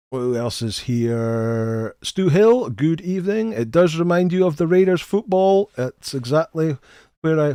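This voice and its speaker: A man's voice: mid-range voice, a little mellow, no room sound, clean studio sound. A middle-aged man hosting a casual live game stream, greeting viewers in a chatty, friendly way and often repeating the first words of a sentence. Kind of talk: casual live stream chat, reading chat names.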